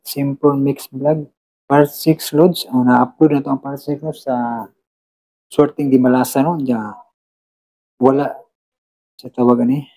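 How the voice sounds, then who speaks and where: A man's voice: medium pitch, fairly even intonation, measured pace, pauses often, dark tone, low-quality recording. A man hosting an online radio drama channel, chatting casually and informally to his listeners with frequent tag questions. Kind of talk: casual chat, reading and thanking listener comments.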